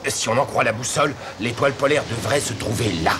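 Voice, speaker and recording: A man's voice: mid-range voice, fast talker, noisy background, natural voice. A man playing an anxious, superstitious male ship's officer in a cartoon, urgent and full of foreboding. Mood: alarmed, worried. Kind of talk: French animated series dubbing. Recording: music behind.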